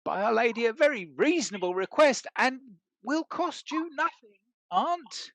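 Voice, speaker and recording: A bumbling, good-hearted country squire from a Restoration comedy, played by a man. He stammers and blusters with a very animated swing in pitch, recorded close and dry. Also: moderate pace, vocal fry, no echo, heavily acted. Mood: hearty. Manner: hesitant stammering, rustic bluster. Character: bumbling, good-natured country bumpkin knight. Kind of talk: scripted stage comedy acting.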